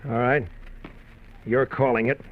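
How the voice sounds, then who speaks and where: An actor playing a frightened, cornered ex-convict, tense and pleading, in a 1950s radio drama. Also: male voice, medium pitch, steady tone, measured pace, close to an everyday voice. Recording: dull lo-fi 1950s radio recording.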